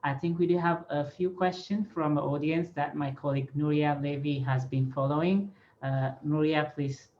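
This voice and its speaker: A man's voice: on the high side, flat delivery, normal speed, few pauses. A man in his thirties moderating a formal online interview as host, speaking into a headset on a video call. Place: small office, on a video call. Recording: dull, noisy video-call audio.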